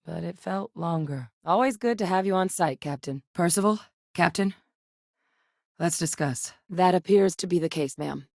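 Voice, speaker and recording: A no-nonsense military scientist with a lowish, level voice, brisk and composed in a dry, matter-of-fact manner. The sound is close and completely dry, with no room around it. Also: female voice, dry recording. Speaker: game character voice lines. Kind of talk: video game dialogue.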